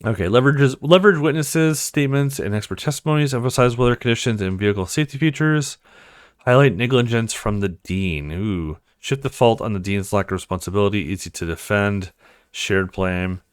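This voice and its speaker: A man's voice: on the low side, a little fast, smooth, no echo, clean studio sound. A man hosting a let's play, reading visual novel dialogue aloud and commenting, now and then stumbling over a word.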